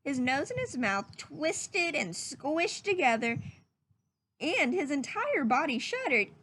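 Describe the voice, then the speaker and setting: A woman reading a children's storybook aloud in an expressive way and explaining words simply. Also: sits high, takes it slowly, a little hiss or hum, poor audio. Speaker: read-aloud host.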